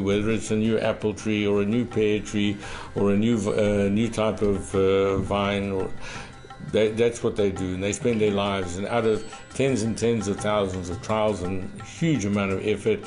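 A low-voiced man in his fifties talks about wine in a halting, restarting way, full of fillers. He sits at a tasting table in a cellar room. Soft music plays behind him. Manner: hesitant, many fillers and restarts. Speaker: visiting winemaker. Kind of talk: halting casual explanation.

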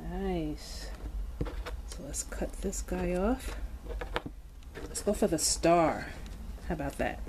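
A woman hosting a kids' toy video, narrating as she plays with modeling clay in a slow, gentle, playful way with soft asides. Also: fairly low pitch. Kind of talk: hands-on toy play narration for kids. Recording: background noise.

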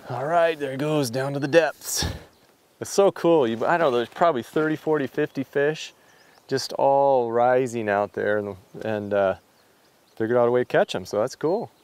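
A man's voice: mid-range voice, moderate pace, a little mellow. A middle-aged man chatting casually and enthusiastically while fishing from a drift boat outdoors. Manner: enthusiastic, exclaiming. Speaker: fishing guide and host. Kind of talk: casual on-camera chat.

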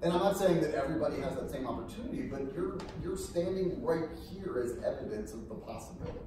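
A man's voice: on the high side, animated, fast talker, distant mic, noisy background. Emphatic man in echoey hall. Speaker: panelist. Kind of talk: panel discussion. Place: large hall with brick walls. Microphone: far room mic.

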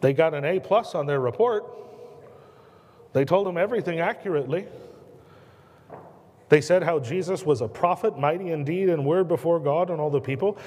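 A man in his thirties with an American accent, preaching a sermon from the pulpit in earnest, slowing and dropping his voice on key words. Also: darkish tone. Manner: earnest preaching, slows and drops low on key words, gestures a lot. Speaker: pastor. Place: church sanctuary at a pulpit. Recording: long church reverb.

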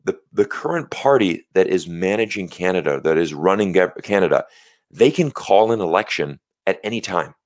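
Deep-voiced American man hosting a live stream. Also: expressive, moderate pace, no echo. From United States. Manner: earnest, explanatory, thanks viewers, many "you know" fillers. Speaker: livestream host. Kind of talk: casual live-stream talk. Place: small home studio, indoors. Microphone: large studio mic on a stand close in front.

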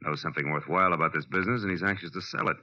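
A man's voice: low voice, rapid-fire, pushed and bright, exaggerated voice. An American man playing a cynical, wisecracking private detective in 1940s radio style, wry and clipped. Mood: wry, probing. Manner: wry, clipped, hard-boiled. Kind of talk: 1940s radio acting and first-person narration. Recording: muffled old-time radio recording.

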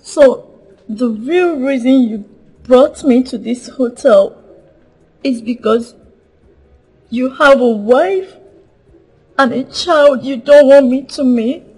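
A woman playing a hurt, accusing mistress in a film drama, speaking haltingly. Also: on the high side, slightly rough, soft background music, rough recording. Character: hurt, accusing mistress confronting her lover.

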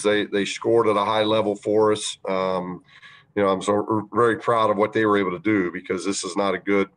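A man coaching a basketball team, answering reporters' questions after a game, measured and halting with many fillers. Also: lowish voice, rough recording. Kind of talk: post-game press conference answers.

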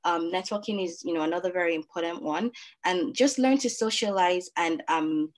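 A woman's voice: fairly low pitch, normal speed. Nigerian woman moderating a video call. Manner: relaxed, many fillers. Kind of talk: panel discussion.

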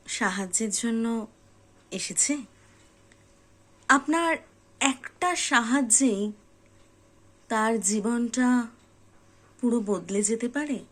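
Female motivational speaker, voice on the high side, very unhurried pace, earnest and emphatic direct address. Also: fairly bright tone. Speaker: host of a motivational reflection channel.

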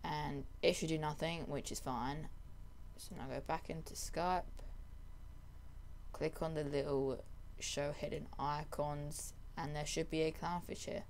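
A young adult presenter giving a casual software tutorial in a voice-over. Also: mid-range voice, fairly even intonation, unhurried, a little hiss or hum.

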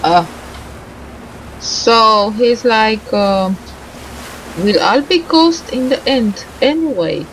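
A woman's voice: fairly high pitch, animated, takes it slowly, pauses often, poor audio. A woman giving casual, reactive let's play commentary, muttering to herself and exclaiming as she plays. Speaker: gaming commentator. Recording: clear background noise and game ambience. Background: video game ambience.

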